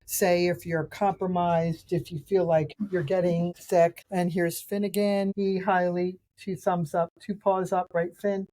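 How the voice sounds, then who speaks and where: Raspy middle-aged woman, mellow low voice. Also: level tone, unhurried, hardly any pauses, low-quality recording. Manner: chatty, rambling, with restarts and fillers. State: raspy voice from a cold. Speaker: podcast host and nutrition practitioner. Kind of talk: casual solo podcast talk reviewing products. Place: outdoors.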